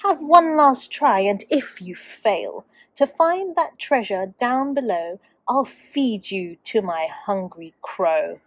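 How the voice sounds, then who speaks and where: Storybook villain: bossy, menacing lizard wizard played by a woman, drawn-out delivery, close dry sound. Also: a little high, animated, dry recording, full character voice, poor audio. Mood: threatening.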